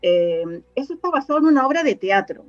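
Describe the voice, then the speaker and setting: A woman's voice: high voice, big pitch swings, fast, no echo. A Chilean woman answering interview questions conversationally, hesitant, searching for words and often repeating herself. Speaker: interview guest, scriptwriter and radio drama researcher. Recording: dull, lo-fi sound with faint music. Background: faint music.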